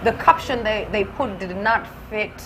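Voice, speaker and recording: A woman's voice: mid-range voice, moderate pace, a loud crowd. Outspoken woman in her thirties. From United Kingdom. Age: 30s. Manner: outspoken and blunt. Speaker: interview guest. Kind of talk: gossip interview, mixing Luganda and English. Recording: noisy background hiss.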